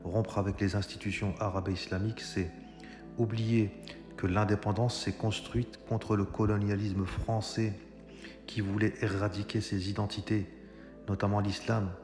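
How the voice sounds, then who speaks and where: A man's voice: on the low side, flat delivery, normal speed. Male commentator, argumentative monologue, hesitant delivery, reverberant room. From Algeria. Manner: argumentative, addresses viewers directly, frequent hesitations. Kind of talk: political monologue. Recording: echoey room with long reverb. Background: music.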